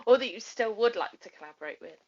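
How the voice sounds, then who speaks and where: A woman's voice: on the high side, expressive, quick pace, darkish tone, highs cut off. A woman hosting a casual interview, effusive and repeating words for emphasis. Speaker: interviewer, host.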